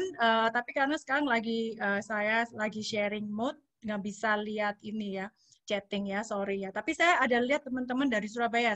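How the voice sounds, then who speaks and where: A woman's voice: fairly high pitch, pushed and bright, no room sound. Javanese-accented woman chatting on a video call, lots of fillers, dry close sound. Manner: chatty, mixes in English words, many fillers. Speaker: guest speaker. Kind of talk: casual webinar talk.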